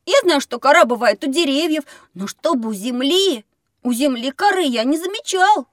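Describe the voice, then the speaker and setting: A high, very animated little boy's voice, recorded dry. He is an inquisitive, chatty pupil in an educational audio play, speaking in a childlike way. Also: normal speed, no room sound. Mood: curious. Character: curious, talkative little boy pupil.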